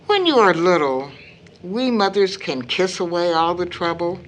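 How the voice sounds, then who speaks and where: A woman playing a weary, loving mother of sixty in an audio drama, speaking gently and reflectively. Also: lowish voice, lively intonation, unhurried. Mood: wistful, worried.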